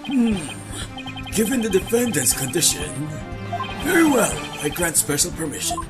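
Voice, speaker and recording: A woman's voice: mid-range voice, big pitch swings, moderate pace, lightly acted. A woman voicing a genial, formal, easily bemused old male judge in a video game fandub. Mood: stately. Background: loud game music.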